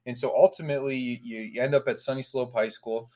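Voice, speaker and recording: A man's voice: mid-range voice, steady tone, fairly quick, a little mellow. A man interviewing a guest, summarizing his story and asking leading questions. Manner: leading questions, frequent backchannel "yeah". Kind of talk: casual interview.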